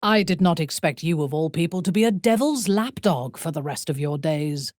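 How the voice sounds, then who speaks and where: A woman playing a proud, ruthless dark elf noblewoman in a video game, speaking formally and deliberately with a sardonic, coolly menacing manner. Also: low-pitched, expressive, no room sound, studio quality. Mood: wry, cool. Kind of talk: video game character voice acting.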